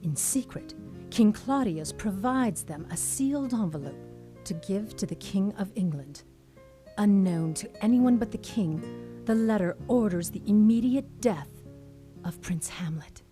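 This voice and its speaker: A woman's voice: medium pitch, lively intonation, measured pace, mellow. A woman giving scripted storytelling narration as the narrator of a play. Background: plucked string music.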